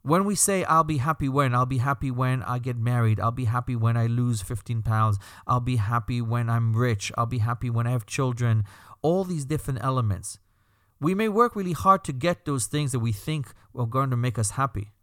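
Man, slight Belgian accent, rabbi and podcast host, calm teaching tone, dry close recording. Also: medium pitch, no room sound. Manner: calm, teaching tone with rhetorical lists. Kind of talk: reflective podcast monologue.

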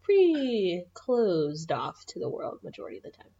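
A woman's voice: on the low side, very animated, moderate pace, warm tone. Mid-20s woman, dry teasing cohost, muffled lo-fi sound. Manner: teasing, dry, asks pointed questions. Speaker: podcast co-host. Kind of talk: casual chat.

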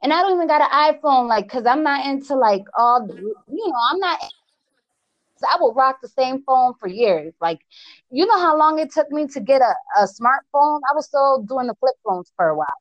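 A woman from Connecticut with an East Coast accent, hosting a casual chat in an excitable way, with restarts and laughs. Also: high voice, moderate pace, no room sound, highs cut off. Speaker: host.

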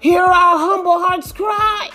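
Woman leading intercessory prayer, slow, high, pleading, soft music underneath. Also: fairly even intonation. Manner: pleading, repetitive invocations, rising to shouts. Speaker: prayer leader.

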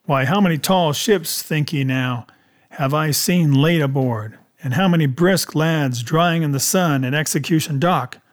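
A man's voice: fairly high pitch, a little slow, natural voice. A man voicing a cunning, commanding pirate cook with emphatic, rustic seafaring speech in an adventure audiobook. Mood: exasperated, forceful.

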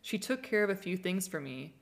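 A woman's voice: lowish voice, very animated, fairly quick, creaky. A woman co-hosting an advice show, chatting casually and reading listener questions aloud.

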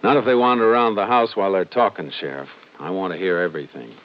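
An actor playing a calm, methodical Texas Ranger in a 1950s radio crime drama, speaking tersely and matter-of-factly. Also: male voice, deep voice, quick pace, close to an everyday voice. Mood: quietly intent. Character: calm, methodical Texas Ranger lawman narrating his case. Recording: noisy, muffled 1950s radio recording.

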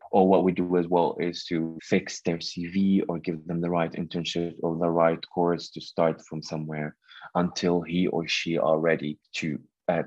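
A man's voice: low-pitched, darkish tone, husky, no room sound. A man in his thirties from Syria, a podcast guest telling his story, speaking hesitantly with many fillers and restarts. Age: 30s. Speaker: founder of a refugee job platform, guest. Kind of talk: conversational podcast interview. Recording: dull dry lo-fi sound.

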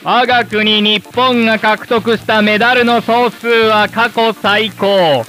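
A man playing a rousing, showy host of an old-fashioned live TV special, with formal, emphatic, drawn-out announcing. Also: high-pitched, steady tone, bright, forceful tone, exaggerated voice. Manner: grandiose, emphatic, drawn-out phrasing. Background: background music.

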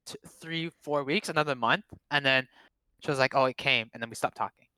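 A man's voice: medium pitch, fairly quick, pushed and bright, smooth, no room sound, rough recording. A man in his late twenties from Canada, a Cantonese speaker, telling rambling, profane, self-mocking stories in a casual chat. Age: 20s. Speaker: guest.

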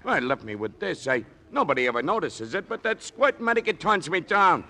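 Pushy, excitable high-voiced old soldier. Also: male voice, brisk, full character voice. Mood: insistent, aggrieved. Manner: excitable, boastful, insistent, slangy. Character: pushy talkative First World War veteran with shell shock. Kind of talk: 1940s radio drama acting.

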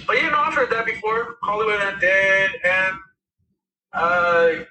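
Raspy high-voiced man, rambling. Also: lively intonation, unhurried, sharp tone, reverberant, distant mic, low-quality recording. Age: 30s. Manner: rambling, with many fillers and restarts. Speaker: musician guest. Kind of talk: casual interview talk.

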